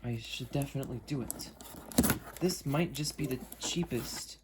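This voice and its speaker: A man talks casually as the host of an unboxing demo, thinking aloud as he goes. His pitch is average for a man, and there is some noise behind him. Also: moderate pace. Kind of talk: casual unscripted product demo with friends on a call.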